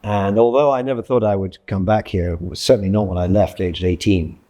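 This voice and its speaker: A man of about sixty from Scotland, giving reflective interview answers in a measured, explanatory way, often correcting himself. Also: on the low side, fairly even intonation, warm tone. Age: late 50s to early 60s. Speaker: interviewee, journalist and freelance writer.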